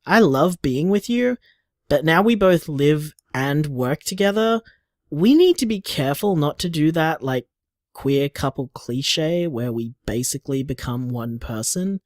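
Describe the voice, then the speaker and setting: A man's voice: a little high, lively intonation, unhurried, no echo, clean studio sound. A man playing an affectionate, playful young bar owner, chatting casually as if leaving voicemails in an audio drama. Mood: tender, reflective.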